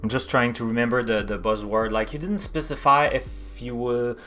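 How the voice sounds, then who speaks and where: A man with a Québécois French accent in casual tech chat as a co-host, often halting as he searches for words. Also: medium pitch, steady tone, a bit bright, soft background music. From Canada, Quebec. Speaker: co-host developer.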